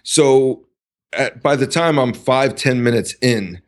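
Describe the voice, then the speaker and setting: A man speaking as a podcast guest, talking in a deliberate, halting way with many pauses and restarts. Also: mid-range voice. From United States. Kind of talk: casual conversational interview.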